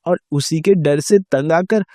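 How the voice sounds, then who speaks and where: A man's voice: fairly high pitch, a little slow, warm tone, dry recording, clean studio sound, lightly acted. A man playing a humble, weary migrant villager in a Hindi cartoon moral story. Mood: pleading.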